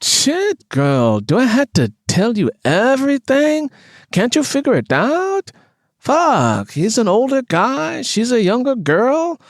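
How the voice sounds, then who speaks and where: A man's voice: very high voice, huge pitch swings, slow, no echo, clean studio sound, pitched above the performer's own voice, heavily acted. A man voicing a catty, foul-mouthed, unpredictable woman in an audiobook, snappish and exasperated. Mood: exasperated, scornful.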